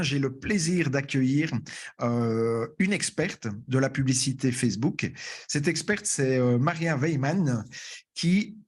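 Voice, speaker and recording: A man hosting an online masterclass over a video call, speaking haltingly with many fillers and restarts. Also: fairly high pitch, breathy edge. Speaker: webinar host.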